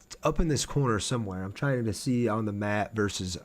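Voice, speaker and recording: A man's voice: fairly low pitch, brisk. A man streaming a video game, chatting casually and conversationally with his viewers as he plays. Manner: conversational, reads viewer comments aloud and answers them. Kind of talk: casual livestream chat while playing a game.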